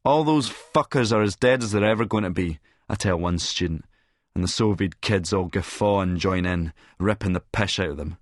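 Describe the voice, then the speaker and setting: A man's voice: low voice, moderate pace, no room sound, studio quality. A man playing a cynical middle-aged Scottish schemer who narrates in a verbose, sardonic, lecturing manner. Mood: scornful, sardonic. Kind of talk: first-person audiobook narration with dialogue.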